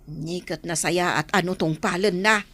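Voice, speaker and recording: A woman's voice: on the low side, fairly even intonation, normal speed, lightly acted. A woman with a Filipino accent playing an exasperated, scolding middle-aged aunt in a radio drama, complaining emphatically.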